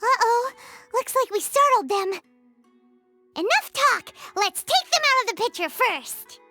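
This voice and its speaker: A woman voicing a small, excitable, childlike fairy companion with a squeaky voice in a video game. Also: a little slow, exaggerated voice. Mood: indignant. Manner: excitable, speaks of self in third person. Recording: background music.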